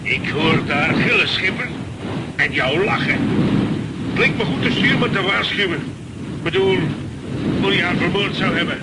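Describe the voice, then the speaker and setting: An actor playing a defiant, sardonic ship's engineer in a radio play, with a dry, provoking manner. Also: male voice, sits high, unhurried, long pauses. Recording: very noisy old radio play recording.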